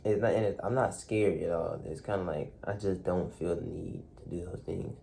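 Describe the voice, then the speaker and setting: A young man speaks quietly in a low, mellow voice. He talks in a soft, hesitant way, full of fillers and restarts, reflecting aloud to a camera in a dark room. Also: fairly even intonation, normal speed, gravelly, a little hiss or hum. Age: young adult. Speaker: vlogger.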